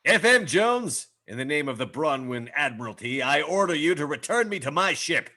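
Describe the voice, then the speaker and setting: Man acting a raspy, pompous, indignant naval officer. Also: fairly high pitch, sing-song, moderate pace, pushed and bright, heavily acted. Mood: haughty indignation. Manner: haughty, formal, exclamatory diction. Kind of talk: animated comedy script table read.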